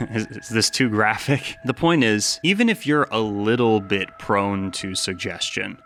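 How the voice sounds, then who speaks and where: Lower-voiced man narrating over ambient noise. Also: a bit bright. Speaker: video essayist and narrator. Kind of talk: scripted analysis narration with casual asides.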